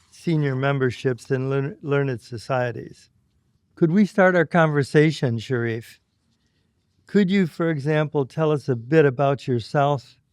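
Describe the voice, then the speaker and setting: An older man hosting a studio interview, formally introducing his guest in a measured, deliberate way at a boom microphone. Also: a little mellow, smooth, no room sound. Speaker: host. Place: dark studio. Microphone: boom microphone on arm.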